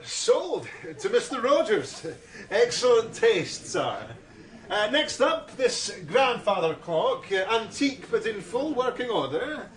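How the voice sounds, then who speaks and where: An actor playing a formal, polite, slightly flustered auctioneer calling out bids in an audio drama. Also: male voice, extremely high pitch, big pitch swings, takes it slowly, heavily acted. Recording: echoey auction room.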